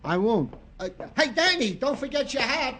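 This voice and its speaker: A man's voice: extremely high pitch, sing-song, normal speed, very noisy. A man playing an eager, chatty, deferential police subordinate in a 1950s radio crime drama.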